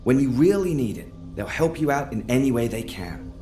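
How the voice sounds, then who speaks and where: A man's voice: mid-range voice, animated, moderate pace, mellow, reverberant, faint music behind, natural voice. An actor playing a helpful, earnest male engineer in a video game, explaining things in a friendly way. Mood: reassuring. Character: helpful, earnest male tech engineer, easily tempted by cookies.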